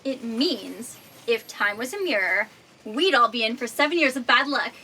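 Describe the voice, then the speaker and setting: A woman's voice: a little high, animated, normal speed, sharp tone, slight background noise, poor audio. A woman playing a computerized assistant that gloats gleefully over disaster in a comedy audio drama. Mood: gleeful menace.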